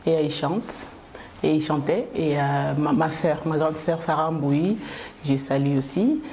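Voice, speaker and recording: A woman from Kinshasa with a Congolese French accent, a gospel singer answering interview questions hesitantly, with many pauses. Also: low-pitched, mellow, slight background noise.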